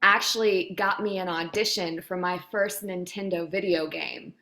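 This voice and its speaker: A woman's voice: on the low side, fairly even intonation, normal speed, sharp tone, poor audio. A woman who works as a voice actor and coach, giving direct, chatty advice with casual anecdotes.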